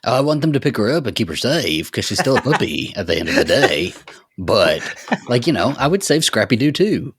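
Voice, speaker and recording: A man's voice: mid-range voice, big pitch swings, fairly quick, fairly bright tone, slightly rough, dry recording, studio quality. A man co-hosting a casual recap chat, making playful, wry comments. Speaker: podcast co-host.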